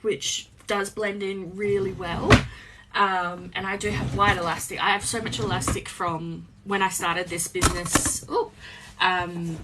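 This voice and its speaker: Croaky-voiced woman sewing live. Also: medium pitch, fairly bright tone, a little hiss or hum, low-quality recording. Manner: chatty, rambling, many ums. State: slightly croaky voice. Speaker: small business owner live-streaming crafts. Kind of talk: casual chat while sewing. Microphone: phone on a live stream.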